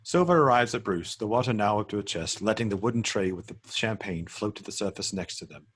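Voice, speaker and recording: Lowish, slightly husky male screenplay narrator. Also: animated, a little fast. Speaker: narrator reading screen directions. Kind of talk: scripted narration at a table read.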